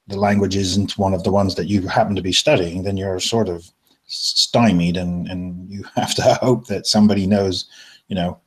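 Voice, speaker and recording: Male co-host, very low pitch, measured hesitant delivery. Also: flat delivery, slightly rough. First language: English. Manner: measured, hesitant, frequent ums. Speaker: podcast co-host. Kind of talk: casual chat.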